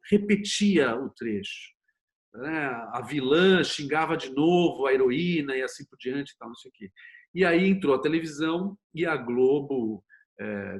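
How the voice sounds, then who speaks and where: A Brazilian man talking as an interview guest, explaining things conversationally in a storytelling way with frequent tag questions. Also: a little high. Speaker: interview guest, series producer and screenwriter.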